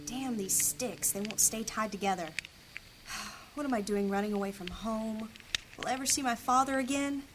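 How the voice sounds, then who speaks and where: A woman's voice: fairly high pitch, moderate pace, lightly acted. Indignant young runaway woman, noisy ambience , acted. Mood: frustrated, worried. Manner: indignant, complaining. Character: young runaway female healer, indignant and anxious. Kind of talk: fantasy audio drama acting. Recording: clearly noisy background ambience.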